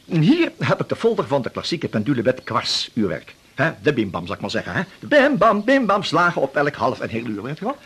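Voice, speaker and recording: A man's voice: mid-range voice, huge pitch swings, a little fast, few pauses, a bit bright, husky, full character voice. An actor playing a pushy, flustered, oily door-to-door salesman in a radio drama, stammering through ingratiating sales patter. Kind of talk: 1980s Dutch radio drama acting.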